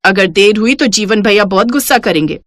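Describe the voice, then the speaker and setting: A woman voicing a responsible, middle-aged elder sister-in-law in a cartoon story, brisk, stern and admonishing. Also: mid-range voice, expressive, dry recording, clean studio sound, lightly acted. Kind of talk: Hindi cartoon moral story acting.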